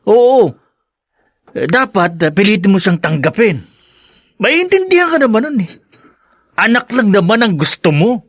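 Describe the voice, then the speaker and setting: An actor playing a brash, callous Filipino drinking buddy in a radio drama, scoffing, teasing and pushy. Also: male voice, high voice, sing-song, measured pace, pauses often, no echo, studio quality, full character voice. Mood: pushy, scheming.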